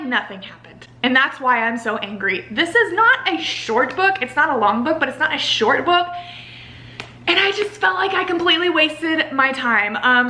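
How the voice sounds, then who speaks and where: A young woman with a very high, bright voice chats in a casual review vlog style. She is emphatic and exasperated, dropping "like" often as she vents. The sound is roomy and echoey. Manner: emphatic, exasperated venting with frequent "like". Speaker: book review vlogger.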